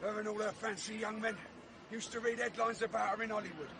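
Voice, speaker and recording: A bitter, vicious fairground man in a vintage radio play. His voice sits fairly high for a man. He taunts in rough slang and carries open menace. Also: steady tone, fast, background noise. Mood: bitter, cold. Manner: taunting, rough colloquial speech. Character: menacing, vicious-tempered fairground ride operator, bitter husband.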